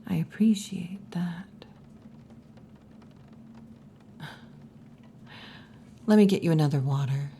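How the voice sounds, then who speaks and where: Hushed, low-voiced wolf girl bartender, acted by a woman. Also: background noise, natural voice. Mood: relaxed, warm. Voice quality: breathy, mostly whispered or half-whispered. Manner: slow, hushed, teasing, with long pauses. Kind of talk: ASMR roleplay, intimate scripted monologue. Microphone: close ASMR microphone.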